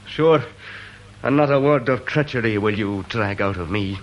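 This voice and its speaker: A man's voice: lowish voice, normal speed, lo-fi sound, a little hiss or hum, close to an everyday voice. An actor playing a boastful, theatrical Irishman who loves to confess, in a radio drama, with an Irish accent. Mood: defiant.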